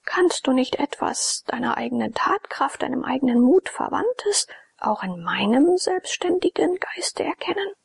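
Brief: woman reader as scheming Egyptian suitor, pleading, husky, close and dry. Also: mid-range voice, moderate pace, a little mellow, no room sound. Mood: passionate. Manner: pleading, insistent. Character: scheming Egyptian man, smooth, imploring.

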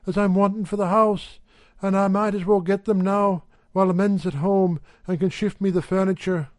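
Plump, sly wife, high and purring, voiced by a man reading a story. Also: fairly even intonation, normal speed, no room sound, close to an everyday voice. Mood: coaxing. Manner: insidious, cat-like wheedling.

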